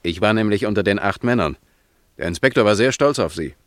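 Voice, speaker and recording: A man's voice: fairly low pitch, expressive, brisk, no room sound, studio quality, lightly acted. An actor playing a chatty, matter-of-fact desk policeman in a German radio drama.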